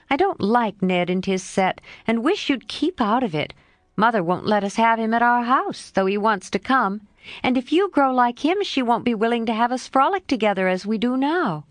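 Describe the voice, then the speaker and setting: A frank, spirited young girl, put on by a woman narrator, scolds her friend in a bright, eager voice that swoops upward. The pitch moves a lot, and the sound is close and dry with no room around it. It suits a classic storybook read aloud. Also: lively intonation, normal speed, dry recording, close to an everyday voice. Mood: earnest.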